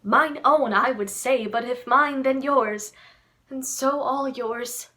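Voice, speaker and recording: A woman's voice: high voice, takes it slowly, sharp tone, full character voice. A woman acting a lovestruck, torn young noblewoman in a Shakespearean verse monologue, with hesitant, theatrical delivery. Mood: impassioned.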